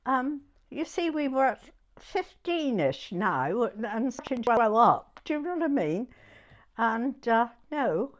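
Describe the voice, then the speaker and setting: Elderly southern English woman, lively pitch, unhurried. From Dorset, England. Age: 90s. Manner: chatty, halting, peppered with "you know". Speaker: oral history interviewee. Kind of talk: informal reminiscence in an interview.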